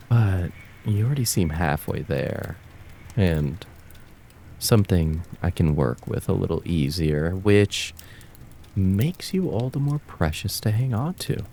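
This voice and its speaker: A man voicing a cruel, taunting kitsune fox spirit of the woods in an ASMR roleplay, with drawn-out, mocking, menacing words. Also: low-pitched, warm tone, slight background noise. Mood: sinister, savoring.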